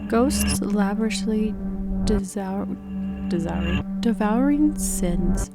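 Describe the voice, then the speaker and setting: A woman's voice: mid-range voice, vocal fry, over loud music. A woman hosting a casual let's-play, reading game text aloud and stumbling a little as she goes. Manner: halting, stumbling when reading. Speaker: gaming video host.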